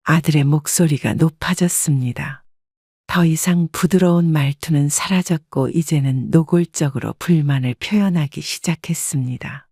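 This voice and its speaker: Female audiobook narrator, even and measured, dry studio read. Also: deep voice, no room sound. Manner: steady, even-paced storytelling. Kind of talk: scripted first-person story narration.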